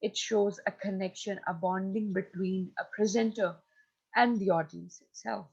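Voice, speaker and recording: A woman with a Pakistani accent delivers a lecture in a steady, mid-pitched voice. She explains each point firmly and pauses to ask her listeners whether they are following. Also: gravelly, breathy edge, muffled recording. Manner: instructive, emphatic, checks in with listeners. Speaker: teacher. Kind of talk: recorded lecture on presentation skills.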